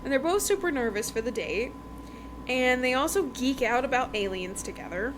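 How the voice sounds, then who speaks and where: High-voiced woman, chatty and informal, noisy recording. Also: lively intonation, normal speed. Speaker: podcast host reviewing romance books. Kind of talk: casual book recap chat. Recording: noticeable background noise.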